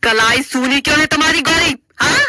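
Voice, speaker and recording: Woman voicing a sharp, high-pitched nagging mother-in-law. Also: moderate pace. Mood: angry. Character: stern, sarcastic older mother-in-law, scolding.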